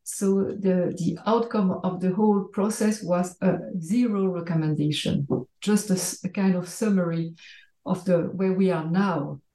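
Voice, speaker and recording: A middle-aged woman, an academic explaining points in an interview in a halting but structured way. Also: lowish voice, fairly even intonation, mellow. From Belgium. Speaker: academic researcher, interview guest. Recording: roomy, echoey sound.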